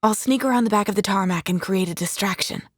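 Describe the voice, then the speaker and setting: A woman playing a resourceful, determined young thief in an audiobook drama, giving quiet, deliberate commands. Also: medium pitch, no room sound, clean studio sound, close to an everyday voice. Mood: urgent, decisive.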